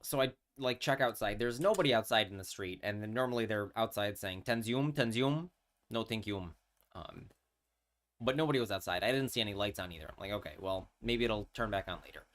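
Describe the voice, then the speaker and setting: A man's voice: lowish voice, fairly quick, fairly bright tone. A man, chatting casually on a live stream and telling a story with many fillers and restarts. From New York.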